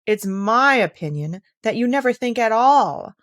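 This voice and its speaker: A snooty talking rose from a storybook, as a woman acts her out. She is blunt and condescending, with a severe air and a lifted pitch. The recording is dry. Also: animated, unhurried, dry recording.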